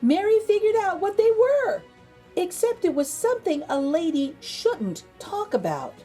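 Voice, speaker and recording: A woman reading a children's picture book aloud as a storytime host, theatrically, with dramatic pauses and emphasis. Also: extremely high pitch, sing-song, warm tone, faint music behind.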